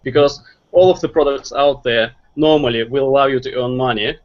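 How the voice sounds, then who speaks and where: A man's voice: medium pitch, brisk. Russian-accented man on a muffled call. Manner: earnest, advice-giving, some grammar slips. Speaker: guest, SEO marketer. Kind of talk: online interview.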